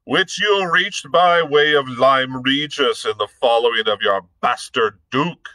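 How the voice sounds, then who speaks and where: A man's voice: medium pitch, slow, no echo, pitched above the performer's own voice, low-quality recording. A man reading aloud, putting on a heavy, hectoring voice for a blustering, bullying dragoon captain. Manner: loud, sneering.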